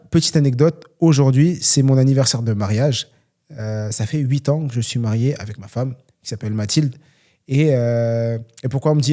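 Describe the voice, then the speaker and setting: Earnest man with a mellow voice. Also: mid-range voice. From France. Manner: earnest, motivational, many fillers. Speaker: podcast host and trainer. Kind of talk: solo Q&A podcast talk.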